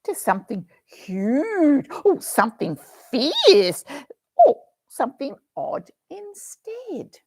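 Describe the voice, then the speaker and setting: A woman's voice: high-pitched, wildly swooping pitch, takes it slowly, darkish tone, pitched above the performer's own voice, heavily acted. A woman voicing a dreamy, talkative little storybook girl. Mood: dreamy eager. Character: young girl Susie, dreamy and chatty.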